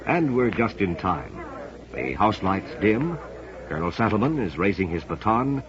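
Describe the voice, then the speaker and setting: Low-voiced vintage American radio announcer man. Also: very animated, normal speed, crowd noise. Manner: formal, emphatic, polished delivery. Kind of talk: scripted mid-century American radio announcing. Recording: dull, band-limited vintage radio recording.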